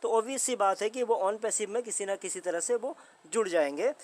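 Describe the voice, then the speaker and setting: Indian guy w/ a high voice doing casual commentary, repeats himself, keeps throwing questions at the listener. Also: brisk, darkish tone. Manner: conversational, repeats points, puts rhetorical questions to listeners. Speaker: host of a commentary channel on a company's updates. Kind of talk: informal explainer commentary.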